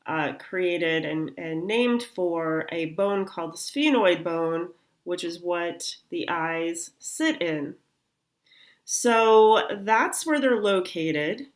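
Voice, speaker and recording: A slightly nasal middle-aged woman walks through a health lesson at an easy, unhurried pace. Her pitch sits in an ordinary middle range, and she pauses often with soft fillers as she explains. She sounds a little congested, like someone still clearing up. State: recovering from sinus congestion. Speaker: chiropractor. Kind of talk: health talk explaining anatomy with slides.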